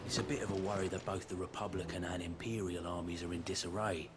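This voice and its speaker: Man playing a deep-voiced, concerned ally. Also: fairly even intonation, quick pace, noisy background, natural voice. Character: low-voiced, concerned ally sharing war news. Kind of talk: scripted fantasy game dialogue.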